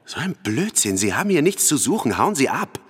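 An actor playing a hostile, irritated boat owner in a radio play, curt and scolding. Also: male voice, medium pitch, sing-song, fairly quick, slightly rough, big-room sound. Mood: hostile, dismissive. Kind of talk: German radio play acting.

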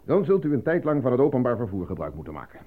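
A man's voice: mid-range voice, big pitch swings, rapid-fire. A man acting as an evasive, formal casting agent who talks down to a client in a radio drama. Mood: dismissive. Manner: formal, condescending, bureaucratic. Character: evasive, patronizing male casting agent stonewalling an unemployed actor. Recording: thin phone-like old radio recording.

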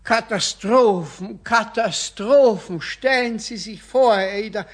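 An actor playing a fussy, overwrought gentleman customer, formal and stammering, in a radio play. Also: high-pitched, slow pace. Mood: distraught. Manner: stammering, formal, flustered, exaggerated dramatic phrasing. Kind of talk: 1970s radio play acting.

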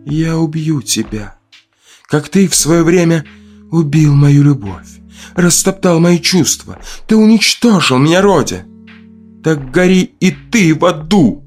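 Man putting on a high, taunting, vengeful woman. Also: lively intonation, a little mellow, faint music behind. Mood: cold threat. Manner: taunting, drawn-out reproaches. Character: vengeful jilted woman in a coat, menacing, mocking, bitter.

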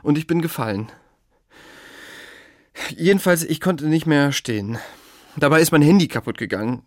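Male actor as bewildered man clinging to who he is; emotional, halting delivery, highly animated pitch, audio drama. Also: lightly acted. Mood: shaken, insistent. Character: bewildered, anguished man insisting on his identity. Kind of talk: German audio drama acting.

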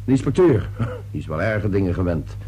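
An actor playing an irritable, defensive middle-aged businessman father in a radio play, with a gruff, impatient, blustering manner. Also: deep voice, very animated, brisk, mellow, heavily acted. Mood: defensive, irritated. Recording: noisy old radio play recording.

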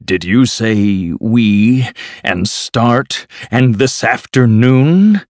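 A man voicing a sensible, loyal river rat from a storybook in a measured, serious manner. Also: low voice, big pitch swings, darkish tone, dry recording, full character voice. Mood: firm. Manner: measured, serious, matter-of-fact. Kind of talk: storybook character voice.

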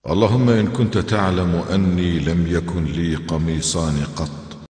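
A man voicing a patient, devout, suffering old prophet in a cartoon, solemn and formal, with a deep husky voice. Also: fairly even intonation, normal speed, a little mellow, big-room sound. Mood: fervent prayer.

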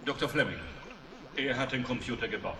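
An actor playing an authoritative, probing scientist in a German radio drama, giving orders and asking questions. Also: male voice, mid-range voice, a little fast, a crowd in the background, natural voice. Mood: businesslike. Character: authoritative male scientist in charge, businesslike, probing, calculating.

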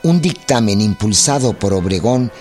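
A man giving measured, formal scripted narration for a historical radio drama. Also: on the low side, animated, a little mellow, soft background music.

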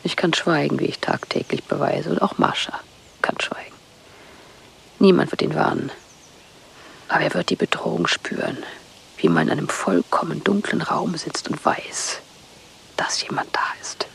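An embittered wife in a radio drama. Her voice is low and very gravelly, breaking into breathy whispers. She speaks in terse, deliberate phrases with guarded intensity. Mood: cold resolve. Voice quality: husky, breathy, often near whisper. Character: bitter, hunted wife in a psychiatric clinic. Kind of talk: radio play dialogue.

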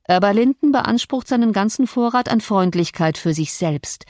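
A woman's voice: lowish voice, darkish tone, no room sound, clean studio sound. A woman reading an audiobook voices a cruel, scornful middle-aged man with a sneering, menacing manner. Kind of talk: audiobook character voice.

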